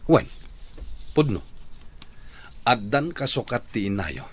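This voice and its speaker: A man's voice: mid-range voice, very animated, slow, breathy edge, full character voice. A man playing a callous, blunt and dismissive father in a radio drama. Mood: cold, dismissive. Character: callous father abandoning his children for another woman.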